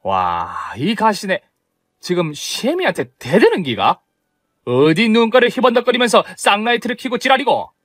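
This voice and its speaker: A man's voice: high voice, wildly swooping pitch, moderate pace, fairly bright tone, dry recording, studio quality, pitched above the performer's own voice, full character voice. A man voicing a blunt, sarcastic older mother-in-law with a Gyeongsang accent, scolding and mocking. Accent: Gyeongsang dialect.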